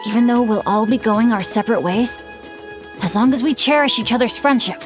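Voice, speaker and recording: A woman's voice: on the high side, brisk, lightly acted. A woman voicing a youthful, good-natured adventurer in a video game, with an earnest manner. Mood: earnest, reassuring. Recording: music behind.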